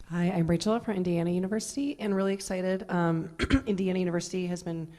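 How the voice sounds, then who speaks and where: A woman's voice: on the low side, fairly even intonation, fast, warm tone. A woman representing a university who introduces herself eagerly and enthusiastically.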